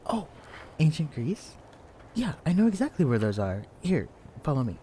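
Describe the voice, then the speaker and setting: A young man playing a shy, clumsy, bookish librarian in an intimate audio roleplay, speaking in a hesitant, stammering, hushed way. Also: a little high, very animated, moderate pace, slight background noise. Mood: helpful, eager. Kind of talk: intimate audio roleplay acting addressed to the listener. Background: quiet library ambience.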